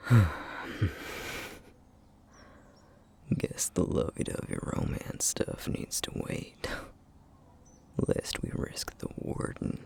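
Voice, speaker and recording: A man playing a tender, teasing single-dad boyfriend in an intimate ASMR roleplay, with a husky, half-whispered, affectionate murmur. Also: slow pace, close to an everyday voice. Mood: wry, amused. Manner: soft, hesitant, affectionate murmuring with soft chuckles. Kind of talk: ASMR roleplay, intimate one-sided dialogue to listener.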